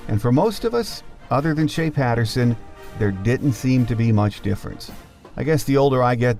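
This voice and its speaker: A man's voice: medium pitch, warm tone. A middle-aged man reading scripted sports podcast narration as the host.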